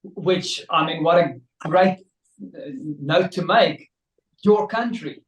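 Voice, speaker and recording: A man's voice: on the high side, slow, dull audio. A man co-hosting a coffee podcast, joking and enthusiastic. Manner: jokey, laughs often, enthusiastic. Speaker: podcast co-host. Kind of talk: casual interview talk. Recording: echoey room, from a distance.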